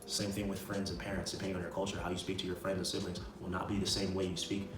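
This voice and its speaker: A man speaks in a roomy, echoing space, some way from the mic, with music behind him. His voice sits on the low side and moves quickly. He talks like a casual self-improvement vlogger, emphatic and slangy, circling back to drive his points home. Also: flat delivery, distant mic, background noise. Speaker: video creator giving self-improvement advice. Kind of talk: casual motivational talk to camera.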